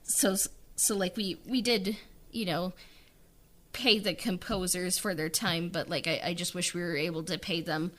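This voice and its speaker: A woman's voice: fairly low pitch, fairly even intonation, moderate pace, fairly bright tone. A woman chatting casually on a podcast as a writer-director, informal and hesitant in the way she talks. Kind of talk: casual interview chat.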